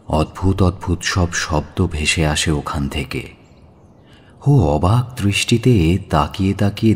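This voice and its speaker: A man narrating an audio story in a measured, expressive and dramatic storytelling style. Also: sits low, breathy edge. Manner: measured, expressive, drawn-out phrasing.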